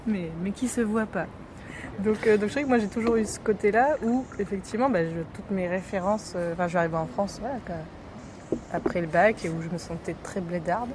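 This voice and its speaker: A woman's voice: medium pitch, fairly quick. A young woman who grew up in Morocco, co-hosting a casual chat and rambling with lots of fillers. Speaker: podcast co-host. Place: outdoor terrace. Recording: street noise outdoors.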